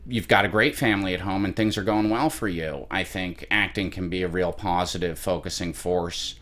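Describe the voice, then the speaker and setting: A young man, an actor, answering interview questions in an earnest, measured way with some restarts. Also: low voice, steady tone, fairly bright tone. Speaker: actor guest.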